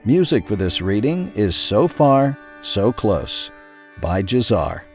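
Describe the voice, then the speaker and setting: A man reading a scripted magazine article aloud in a measured, clearly emphasized narrator's delivery. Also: fairly low pitch, very animated, a little mellow, soft background music.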